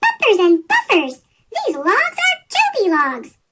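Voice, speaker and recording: A storybook reader with a chipmunk-pitched effect voicing a plucky, polite male little tank engine. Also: wildly swooping pitch, unhurried, very noisy, heavily acted. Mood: excited. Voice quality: high chipmunk squeak. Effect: sped-up chipmunk pitch shift.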